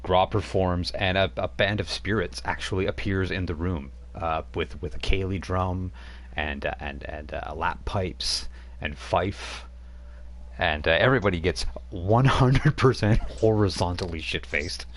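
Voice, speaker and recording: A man's voice: low voice, measured pace. A man narrating as game master in a live-streamed tabletop game, rambling with many fillers. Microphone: home microphone on a live stream.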